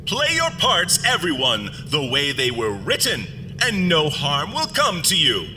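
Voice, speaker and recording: An actor playing a sinister figure who hosts a hokey, folksy farm radio show for children in a theatrical manner. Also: male voice, a little high, huge pitch swings, measured pace, sharp tone, long reverb tail, faint music behind, full character voice. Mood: menacing showman.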